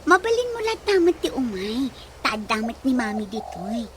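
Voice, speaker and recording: An actress playing a friendly, eager young nurse looking for work in a radio drama. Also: female voice, on the high side, very animated, normal speed. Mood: apologetic. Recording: noisy, with ambient sound.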